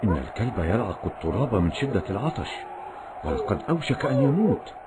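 An actor playing a pious, kind-hearted desert traveler in a children's cartoon, with a theatrical, exclamatory delivery. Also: male voice, fairly low pitch, sing-song, fast, heavy background noise. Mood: pitying, worried. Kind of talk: Islamic children's cartoon acting in formal Arabic.

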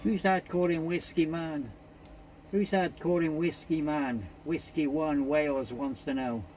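A man's voice: a little high, fairly even intonation, a little slow. British man making CB calls amid static. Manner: repeats callsigns and phrases. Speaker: CB radio operator. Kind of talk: CB radio contact calls. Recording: CB radio static and noise.

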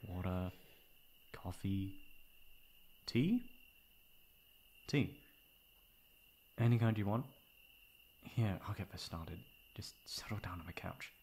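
A man playing a caring, protective young best friend in an intimate ASMR roleplay, with a soft, breathy voice. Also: fairly low pitch, slight background noise. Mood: gentle. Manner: intimate, comforting, casual. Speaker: voice actor.

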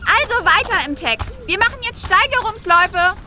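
An actress playing a brisk, sensible young girl footballer in a children's audio drama. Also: extremely high pitch, animated, noisy background. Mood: brisk, organising.

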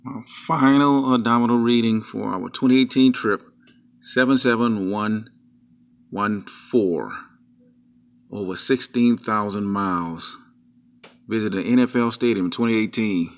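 An older man, a motorcycle traveler, loudly announcing the trip's figures in a casual vlog, filmed outdoors on an action camera. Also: fairly low pitch, a little slow.

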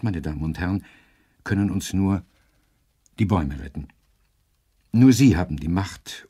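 An actor playing an earnest orator in a radio drama, speaking in a deliberate, rhetorical and emphatic way with a husky voice. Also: male voice, low voice, darkish tone. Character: earnest male orator warning radio listeners about trees and air. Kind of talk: radio drama monologue, solemn public address.

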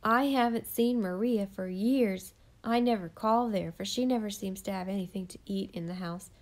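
A woman voicing a sharp-tongued, gossiping eccentric woman who mocks her neighbours in church loudly and with scorn. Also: mid-range voice, moderate pace, close to an everyday voice. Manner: loud, derisive, scornful.

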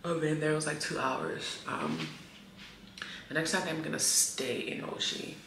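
A woman in her thirties talks straight to her viewers in a casual travel vlog, slangy and animated, breaking into loud laughs. Her voice sits fairly low, and it bounces around an echoey hotel room with a faint hum underneath. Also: unhurried, creaky. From Haitian heritage. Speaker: travel vlogger. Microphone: camera on tripod, some handheld selfie shots.

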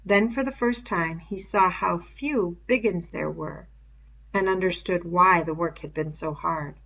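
A woman's voice: fairly low pitch, unhurried, creaky, a bit bright. A woman reading a novel aloud as scripted narration, on a dull lo-fi recording. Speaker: audiobook reader.

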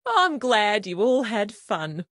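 A kind, welcoming kangaroo lady in a children's cartoon game. She explains gently, with a lilting, slightly husky voice. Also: medium pitch, very animated, slow pace, no room sound, clean studio sound, exaggerated voice. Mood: friendly.

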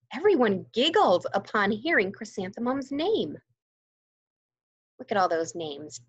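A young woman, a teacher, reads a children's storybook aloud expressively, with careful, deliberate emphasis. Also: medium pitch, slightly rough, lo-fi sound. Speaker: kindergarten teacher reading aloud. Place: indoors, on a screen-share video.

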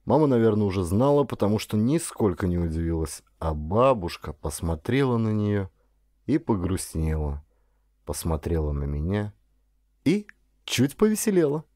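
A man reading a first-person story as an audiobook narrator, in a dramatic, expressive way with varied intonation. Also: on the low side, normal speed, no room sound, clean studio sound.

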